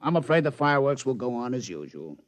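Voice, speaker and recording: Brash, wisecracking casino boss played by a man; lively delivery, old radio drama. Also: medium pitch, fast, no room sound, lightly acted. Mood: blunt. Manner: brash, wisecracking, laughs a lot. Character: brash male casino boss, fast-talking gambler. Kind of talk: 1950s radio drama acting. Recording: dull old radio broadcast.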